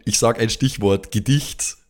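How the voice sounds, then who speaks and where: A man's voice: on the low side, unhurried, darkish tone. A man bantering casually and teasingly in an improvised tabletop role-play show.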